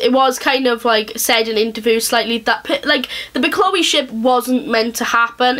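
A young woman with a thick Welsh accent, ranting casually to camera in her bedroom, fast and chatty with lots of fillers. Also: a little high, pushed and bright. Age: young adult. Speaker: vlogger. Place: small bedroom, indoors.

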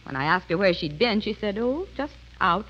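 A woman's voice: medium pitch, expressive, moderate pace. A young woman answering as a guest in a scripted 1930s radio interview. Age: young adult. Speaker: interview guest, personal business manager. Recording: dull old 1930s radio recording.

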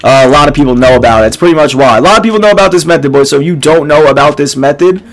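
A young man in his twenties with a hoarse, nearly gone voice, casually commenting on a game to his viewers in a small dark room. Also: fairly high pitch, expressive, racing. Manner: laid-back, filler-heavy, addresses viewers as boys. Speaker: gaming YouTuber.

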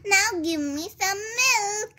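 A woman's voice: extremely high pitch, lively intonation, slow, bright, forceful tone, full character voice. A woman voicing a greedy young male milkman who talks to himself as he schemes. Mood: chatty. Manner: chatty, talking to himself.

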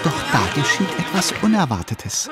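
A man narrating a children's cartoon radio play as a lively storyteller. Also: high-pitched, moderate pace, over loud music, over a noisy crowd. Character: animated male storyteller of a cartoon tale. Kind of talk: lively scripted children's audio-drama narration.

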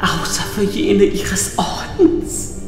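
A haunted, half-mad space-mystic master raves in an audio drama, his pitch leaping up and down. He speaks slowly and feverishly, circling back on his words. The voice swims in heavy echo over music. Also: sing-song, exaggerated voice. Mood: haunted, intense. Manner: feverish, halting, repeating phrases obsessively. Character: mad, tormented male Jedi master, raving and haunted. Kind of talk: German audio drama acting. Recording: heavy echo and long hall reverb.

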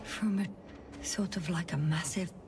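A woman's voice: fairly low pitch, takes it slowly, distant mic, very noisy. An actress playing a pensive, wry woman space scientist in a video game, speaking with dry understatement. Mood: observant, awed. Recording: loud music behind.

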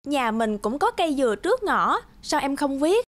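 A woman playing a caring but firm teenage big sister in a cartoon, with a youthful, expressive delivery. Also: high-pitched, moderate pace. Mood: helpful. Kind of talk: cartoon dialogue.

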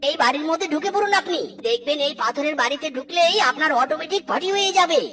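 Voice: sits high, expressive, quick pace, pushed and bright, slight background noise. A performer voicing a sly, cheerful, scheming male animal villager in a cartoon, wheedling and exclamatory. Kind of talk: cartoon voice acting.

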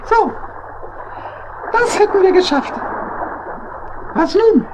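A woman's voice: extremely high pitch, animated, slow, background noise, full character voice. A woman voicing a small male hedgehog, a chatty, eager and plaintive bachelor in a children's audio play. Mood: busy.

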